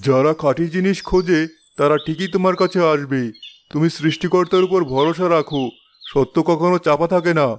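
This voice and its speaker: A man as a slightly high-voiced humble villager. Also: fairly even intonation, fairly quick, a little hiss or hum, close to an everyday voice. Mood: earnest. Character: humble, honest village man in a moral tale. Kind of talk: cartoon story dubbing.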